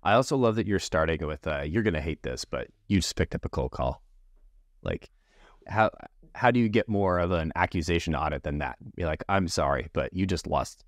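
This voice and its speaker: A man hosting a podcast, relaxed, asking short follow-up questions in casual conversation. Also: sits low, normal speed, dry recording, clean studio sound. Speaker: podcast host.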